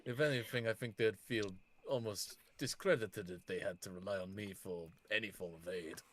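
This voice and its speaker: A man's voice: on the low side, moderate pace, lightly acted. A man playing a reserved, self-deprecating adventurer in a tabletop game, formal and understated. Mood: dry, resigned.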